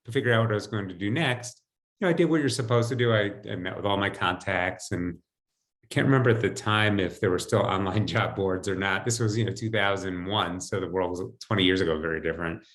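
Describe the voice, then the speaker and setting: A man's voice: fairly low pitch, brisk. A man in his fifties with an American accent, telling personal stories conversationally as an interview guest on a video call. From United States, New Jersey. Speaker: podcast guest, financial advisor. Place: home, indoors. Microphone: video call computer mic.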